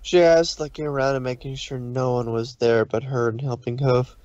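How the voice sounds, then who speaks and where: A man narrating a scripted story, somewhat haltingly, with occasional stumbles over the words. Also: mid-range voice, fairly even intonation, a little mellow, no room sound, lo-fi sound.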